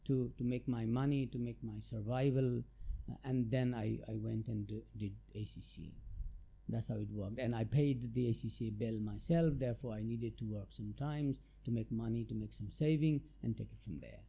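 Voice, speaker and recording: A man's voice: medium pitch, moderate pace, creaky, warm tone, breathy edge. A middle-aged man from Nepal, a tax adviser answering interview questions in a thoughtful, hesitant way with many fillers. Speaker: guest, chartered tax adviser.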